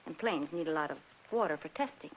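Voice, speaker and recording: An actress playing a shrewd, confident young woman in a 1940s comedy film, brisk and coy with slangy lines. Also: sits low, expressive. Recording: muffled, noisy old film soundtrack.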